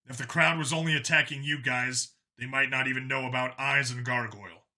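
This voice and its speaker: A man voicing a gruff, determined male cop who talks tersely and grumbles, in an audio story. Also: mid-range voice, steady tone, a little fast, sharp tone. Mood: grim. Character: gruff, foul-mouthed male vigilante cop, grimly determined.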